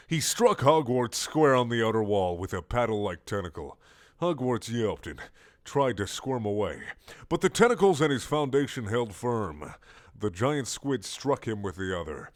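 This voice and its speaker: A man narrating comic fiction from a script in a theatrical, measured, storytelling way. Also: fairly low pitch. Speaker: narrator reading a fan story.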